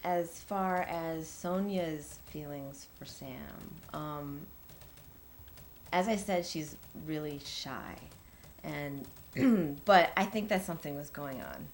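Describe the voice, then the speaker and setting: A deep, creaky woman's voice plays a tentative witness in a film drama. She answers very slowly and haltingly, over clear background noise. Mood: tentative, thoughtful. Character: tentative woman answering questions about her shy friend. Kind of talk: naturalistic film monologue, interview-like testimony.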